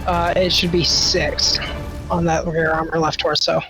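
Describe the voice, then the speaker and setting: A man with a slightly high voice and a flat, even delivery chats as a tabletop game player. Faint music runs behind him. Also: measured pace, rough recording. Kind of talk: casual chat.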